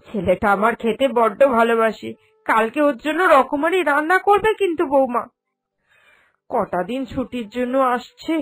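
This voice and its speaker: A woman's voice: sits high, poor audio. A woman voicing an anxious, doting mother-in-law in a cartoon drama, with a plaintive, fretful manner. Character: anxious, doting mother-in-law fretting over her son. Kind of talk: Bengali cartoon drama dubbing.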